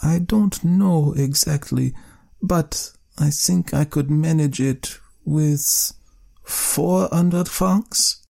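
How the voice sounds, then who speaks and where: A man's voice: a little high, normal speed, gravelly, dark tone, voice pushed up. A man putting on a plaintive, discontented young wife. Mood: hesitant. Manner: plaintive, pained raised voice.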